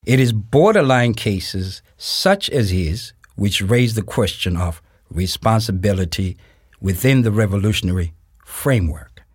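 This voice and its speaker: A man playing a grave narrator of a historical radio play, reading scripted narration in a measured, deliberate, formal way with pauses. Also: on the low side, animated, slightly rough, no echo, clean studio sound. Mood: grave, reflective. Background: music.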